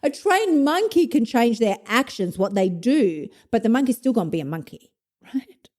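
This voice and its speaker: A woman's voice: on the high side, very animated, normal speed, a little mellow. A woman in her fifties hosting a solo podcast, talking bluntly, swearing freely and laughing at herself as she coaches listeners. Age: 50s. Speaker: podcast host, motivation coach.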